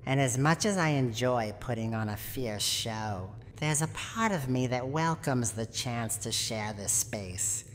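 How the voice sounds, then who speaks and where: Gruff old granny of a haunted house, teasing, lively intonation, long reverb over noticeable noise. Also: female voice, rumbling low, a little slow, few pauses. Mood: softening, secretive. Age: older. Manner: menacing yet secretly tender, theatrical. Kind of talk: scripted roleplay ASMR monologue.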